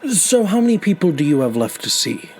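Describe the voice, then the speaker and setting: An actor playing an older, humble, grateful man who tells his story in halting phrases in an audio drama. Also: on the high side, very animated, a little mellow, rough recording. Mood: kindly. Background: music.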